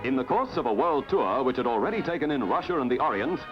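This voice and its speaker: A man's voice: mid-range voice, very animated, fast talker, dull audio. A man reading scripted newsreel narration in a formal, declamatory way over music. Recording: band-limited old newsreel soundtrack.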